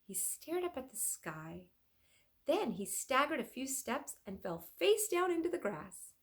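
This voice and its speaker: A woman reading a children's story aloud as a teacher would, expressive and addressing young listeners. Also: sits high, a little slow.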